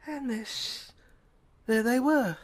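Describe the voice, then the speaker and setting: Woman telling a surreal story, really slow and halting, highish voice, intense. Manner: halting, fragmented, intense delivery. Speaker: narrator of an audio screenplay. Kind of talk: dramatic surreal first-person storytelling.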